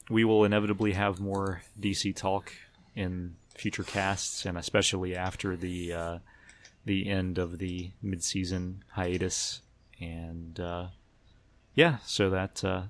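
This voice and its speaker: Creaky-voiced man hosting a podcast. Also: low voice, steady tone, measured pace, a bit bright. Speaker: podcast host. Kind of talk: casual podcast discussion.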